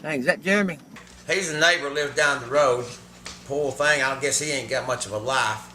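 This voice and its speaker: A man talks in a folksy, joking drawl. His voice sounds bright and forward. The recording carries a roomy echo and faint background hum. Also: medium pitch, normal speed. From Georgia, US. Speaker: pianist and singer. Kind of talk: reality show talk.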